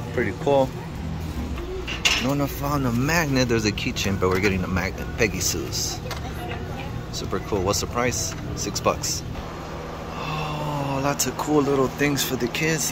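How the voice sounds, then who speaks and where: A man in his thirties filming a casual food vlog, chatty and upbeat as he narrates, recorded on a handheld camera in a small diner. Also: medium pitch. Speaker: vlogger. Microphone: handheld camera at arm's length. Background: music playing.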